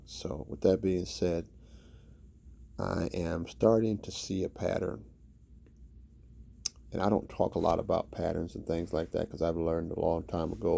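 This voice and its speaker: A man's voice: sits low, gravelly, warm tone, breathy edge. A man hosting his own channel, giving a motivational talk to camera and repeating phrases for emphasis.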